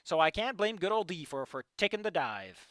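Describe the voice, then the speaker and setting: A man's voice: high voice, big pitch swings, moderate pace, a bit bright, no echo, studio quality. A man reading a fan fiction story aloud live, breaking off often to make casual, profane, joking comments about the writing.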